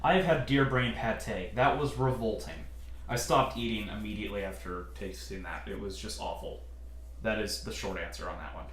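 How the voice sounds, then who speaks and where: A man from California with a generally deep voice, hosting a casual question-and-answer chat and talking in a hesitant, easygoing way with lots of fillers. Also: moderate pace, fairly bright tone. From California, USA. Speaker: channel host. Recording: roomy echo, faint noise.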